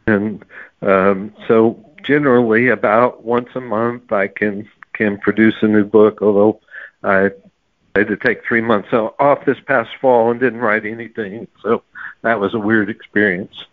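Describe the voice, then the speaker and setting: A man's voice: on the low side, fairly even intonation, a little slow, dry recording. Male interview guest, conversational storyteller, explanatory manner, dry narrowband audio. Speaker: author guest. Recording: narrow phone-like sound, dry.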